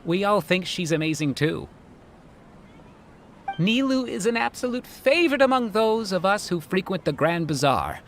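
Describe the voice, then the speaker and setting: An amiable bazaar regular. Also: male voice, high voice, big pitch swings, normal speed, a bit bright, a little hiss or hum, exaggerated voice. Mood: friendly. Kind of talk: video game voice acting.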